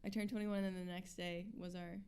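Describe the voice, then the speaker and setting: Young woman, 21, rambly chatty band member in a radio studio, smooth clear voice. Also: lowish voice, little pitch movement, fairly quick, a little mellow. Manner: chatty, many fillers, giggly. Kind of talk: casual interview chat.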